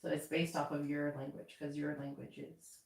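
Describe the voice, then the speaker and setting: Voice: mid-range voice, level tone, moderate pace, gravelly. A person, chatting casually and curiously asking lots of questions. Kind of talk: casual chat. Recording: echoey room.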